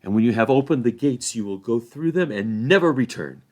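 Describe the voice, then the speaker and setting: A man's voice: mid-range voice, expressive, brisk, lightly acted. A man voicing a bold, indignant young hobbit warrior in a storybook reading. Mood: threatening. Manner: assertive, scolding.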